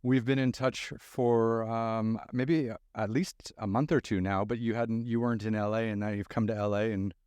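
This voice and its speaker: A man's voice: on the low side, steady tone, moderate pace, hardly any pauses, a little mellow, clean tone, no echo. A man hosting a podcast interview in a studio, talking in a loose, casual way and swearing freely. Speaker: podcast host. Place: recording studio. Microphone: close studio mic.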